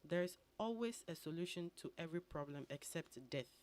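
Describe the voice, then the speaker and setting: A woman with a Ghanaian accent, an immigration attorney answering questions calmly and methodically as a radio studio guest. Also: lowish voice. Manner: measured, explanatory.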